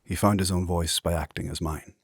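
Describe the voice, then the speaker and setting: Very deep, husky ancient warrior. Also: male voice, steady tone, no room sound, studio quality. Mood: solemn. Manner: formal, measured, grave. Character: ancient, solemn, proud otherworldly warrior, male. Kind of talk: dark fantasy audio drama acting.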